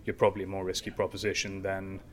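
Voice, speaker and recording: A man's voice: low-pitched, completely flat delivery, fast talker, slight background noise. A young man, a startup founder, answering in a casual interview with long explanations full of fillers and restarts.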